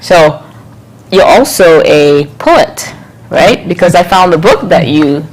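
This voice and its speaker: Low-pitched woman hosting an interview. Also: animated, slow pace, rough recording. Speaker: TV interview host. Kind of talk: conversational interview.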